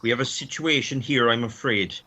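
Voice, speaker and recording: A man's voice: medium pitch, brisk, sharp tone, slightly rough. A man playing a composed, official police guard in a radio play.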